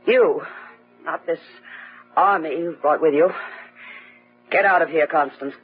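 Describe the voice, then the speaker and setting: A woman playing a bitter, dying wife who taunts in a husky, drawn-out, theatrical gothic radio style. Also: sits low. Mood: cold, imperious. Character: bitter dying wife, venomous, haunting, mocking. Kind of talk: old-time gothic radio acting. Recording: dull vintage radio recording, music behind.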